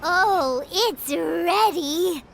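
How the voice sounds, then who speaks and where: A woman's voice: very high-pitched, very slow, creaky, background noise, exaggerated voice. A woman voicing a tiny, bubbly fairy companion who talks about herself in the third person. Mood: cheerful. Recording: game audio over loud music.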